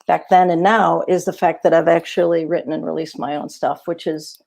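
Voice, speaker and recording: A woman's voice: low voice, fairly even intonation, moderate pace, gravelly, a little mellow. Chatty middle-aged woman on a call. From not Australia. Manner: easygoing, chatty, many fillers. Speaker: guest singer-songwriter. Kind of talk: casual interview chat.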